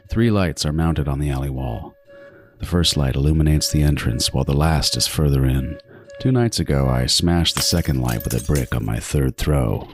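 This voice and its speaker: An actor playing a meticulous, obsessive serial killer who narrates a horror audio drama in a brooding, deliberate and menacing way. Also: male voice, a bass voice, creaky.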